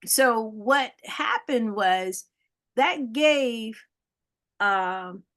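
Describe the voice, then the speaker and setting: A woman's voice: on the high side, lively intonation, very slow, a bit bright. A woman, guest and foundation director, explaining history in an interview with frequent ums and tag questions. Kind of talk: interview answers.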